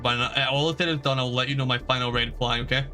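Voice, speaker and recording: A male game streamer with a mid-pitched voice. He comments casually on the game as it plays, with music clearly audible behind him. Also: steady tone, fast, pushed and bright. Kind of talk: casual live gameplay commentary.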